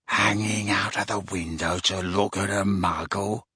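A man voicing a sneering, bigoted father with a violent temper in a storybook, harsh, rasping and slowly threatening. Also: medium pitch, little pitch movement, fairly bright tone, no echo. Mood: deadly quiet. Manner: slow, deadly, threatening.

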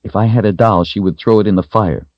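An earnest, timid little servant girl from a storybook, acted out by a man, pitched up from his deep natural voice. The phrasing is simple and childlike, with a husky edge and a dry sound. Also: fast talker, no room sound, lo-fi sound, close to an everyday voice. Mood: upset, forlorn.

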